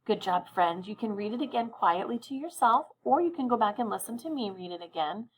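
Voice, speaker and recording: A woman teaching young children to read, speaking directly and encouragingly to them with deliberate, instructional phrasing and check-in questions. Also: mid-range voice, expressive, slight background noise. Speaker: elementary reading teacher.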